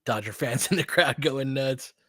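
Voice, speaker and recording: Male American play-by-play fan host, mid-pitched, informal and chatty, clipped calls, dry close recording. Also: normal speed, a bit bright, slightly rough, no echo. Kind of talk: casual live basketball commentary.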